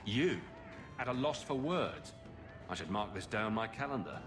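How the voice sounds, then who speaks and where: A man's voice: lowish voice, expressive, moderate pace, music in the background, lightly acted. A man playing a sardonic champion hero in a video game, with a dry, teasing manner.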